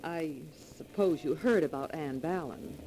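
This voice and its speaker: An actor playing an earnest, folksy small-town acquaintance in a 1940s radio acting style. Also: male voice, a little high, unhurried, darkish tone, natural voice. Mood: solemn. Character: friendly small-town male acquaintance bearing grim news. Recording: noisy vintage 1940s radio recording.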